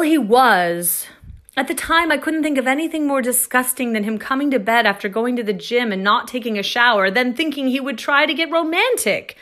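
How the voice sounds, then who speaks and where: An actress playing an exasperated, confiding woman in a comic first-person story monologue, with wry emphasis and dramatic pauses. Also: a little high, a bit bright. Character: exasperated, wryly confiding woman plagued by monsters and heartbreak. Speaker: actress reading a short story.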